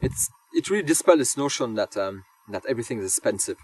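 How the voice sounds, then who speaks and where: A man, a hobby wild camper, thinking aloud in relaxed interview answers, pausing with lots of ums and restarting his sentences. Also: mid-range voice, slightly rough, dry recording. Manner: hesitant, many ums and restarts. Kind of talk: casual reflective interview answers. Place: outdoors on moorland. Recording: distant voice under loud music.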